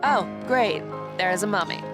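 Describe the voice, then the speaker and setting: A woman's voice: medium pitch, lively intonation, moderate pace, natural voice. A woman acting an impatient, blunt young adventurer in a radio drama, brash and sardonic. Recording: music under the voice.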